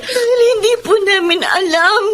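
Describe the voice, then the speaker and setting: A woman's voice: very high-pitched, normal speed, fairly bright tone, faint music behind. A woman with a Filipino accent acting a guilty daughter, sobbing and apologetic as she pleads in a radio drama. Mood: tearful, pleading. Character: guilty, tearful grown daughter pleading with her mother.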